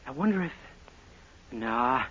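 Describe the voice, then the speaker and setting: A man's voice: mid-range voice, animated, slow pace, fairly bright tone, highs cut off, slight background noise. An actor playing a naive, bashful young man who gets flustered easily, in 1940s radio sitcom acting.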